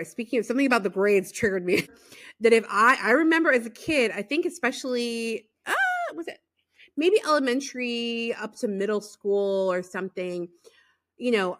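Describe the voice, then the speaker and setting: A woman's voice: medium pitch, a bit bright. A woman from Los Angeles hosting a podcast, chatting animatedly with lots of fillers and laughter. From Los Angeles, USA. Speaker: podcast host. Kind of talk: casual interview chat.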